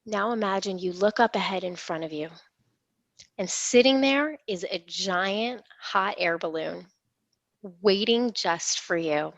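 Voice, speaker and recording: A woman leading a guided imagery meditation in a soothing, unhurried, reassuring manner. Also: mid-range voice, no echo. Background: gentle instrumental music.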